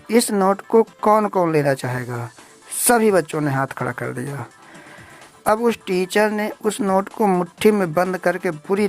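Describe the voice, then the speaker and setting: A woman hosting a story show, telling a moral tale and explaining it directly to listeners in short phrases. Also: on the low side. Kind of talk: spoken storytelling with a moral lesson, addressed to listeners. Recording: music bed under the voice. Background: background music.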